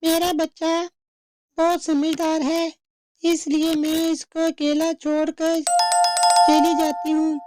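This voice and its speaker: A woman's voice: high-pitched, a little mellow, no echo, studio quality. A woman voicing a watchful, caring mother bird who speaks instructively in a cartoon story. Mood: proud. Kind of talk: slow cartoon story dialogue.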